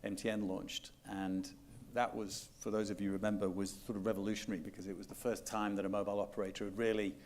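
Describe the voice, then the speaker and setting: A man's voice: fairly low pitch, flat delivery, quick pace, a little mellow. A man speaking as a panelist at a conference, reflective and slightly hesitant. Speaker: panelist, economist. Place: conference hall.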